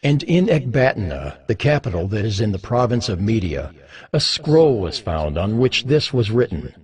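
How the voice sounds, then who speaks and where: A man narrating a dramatized Bible in a measured, formal storytelling style. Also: lowish voice, sing-song, husky. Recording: music bed under the voice.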